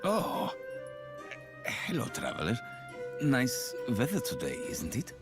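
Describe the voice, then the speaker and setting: Casting: shifty smuggler, sly and evasive, hugely animated intonation, game cutscene with clear music bed. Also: male voice, mid-range voice, slow, exaggerated voice. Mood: fake casual. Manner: sly, conspiratorial. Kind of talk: video game character dialogue.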